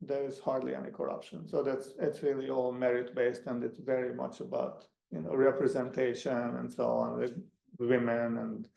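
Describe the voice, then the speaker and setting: Gravelly man, Hungarian native, echoey room. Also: medium pitch, level tone, mellow. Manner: halting, many restarts and fillers. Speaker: guest speaker. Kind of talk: academic talk answering questions. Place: lecture room.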